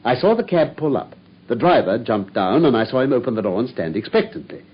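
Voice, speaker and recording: A brilliant English detective in a radio play, husky-voiced with lively swings of pitch. He is self-assured, theatrical and wryly amused at himself. The sound is slightly muffled. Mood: wry storytelling. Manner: brisk, wry, self-amused storytelling. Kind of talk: British radio drama acting. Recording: dull, muffled radio drama recording.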